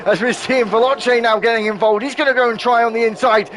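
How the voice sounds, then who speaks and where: A man giving live play-by-play commentary on an online racing league, excitable and quick to correct himself. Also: very high-pitched, a little hiss or hum.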